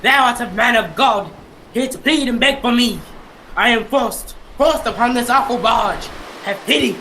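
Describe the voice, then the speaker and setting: A proud sea captain played by a young man in an old-style radio play. The voice sits high for a man and declaims in archaic, theatrical phrases. The recording carries plain noise behind it. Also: moderate pace, pushed and bright, heavily acted, rough recording. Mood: terrified pleading. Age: young adult. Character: defiant blaspheming sea captain, proud, raging, later pleading. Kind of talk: old-time radio drama acting.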